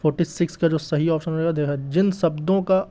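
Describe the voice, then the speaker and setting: A man's voice: a little high, steady tone, brisk, dark tone. A man teaching exam prep, explaining quiz answers step by step and often checking in with his listeners. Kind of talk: explanatory lesson going through quiz questions. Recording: dull lo-fi recording.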